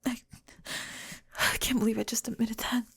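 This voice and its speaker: A woman playing a remorseful, vulnerable young woman in an intimate emotional ASMR roleplay, speaking haltingly and tenderly in a breathy, often whispered voice, close to the mic. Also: a little high, little pitch movement, heavily acted. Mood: vulnerable, hushed. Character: remorseful, vulnerable young woman confessing to someone she rejected. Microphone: close, dry microphone.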